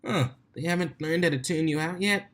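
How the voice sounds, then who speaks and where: A man's voice: fairly high pitch, a little fast, a bit bright, voice pushed up, lightly acted. A man voicing a brash, cocky female pony DJ with boisterous, slangy showmanship, in a storybook reading. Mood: grumbling, teasing.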